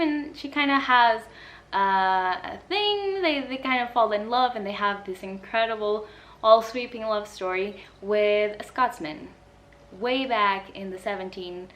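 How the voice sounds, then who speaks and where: A woman with a fairly high voice talks casually as she reviews books. She is expressive and opinionated, putting firm emphasis on the words that matter to her. The delivery is informal and chatty, like a vlogger addressing viewers.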